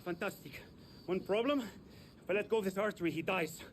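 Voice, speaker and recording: A man's voice: high voice, normal speed, slight background noise, close to an everyday voice. A man playing a wry back-alley medic. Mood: urgent. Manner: sardonic, dry humor. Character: wry underground male field medic.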